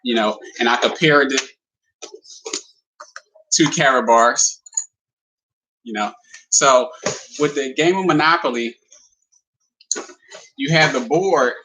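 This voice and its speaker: A man's voice: mid-range voice, slow, pauses often, pushed and bright, a little hiss or hum, poor audio. A man hosting a casual livestream, talking to viewers in a plain, friendly way with lots of fillers. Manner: talks in a plain, simple way with many "you know" fillers; friendly, addresses viewers as "guys". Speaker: livestream host presenting a business opportunity.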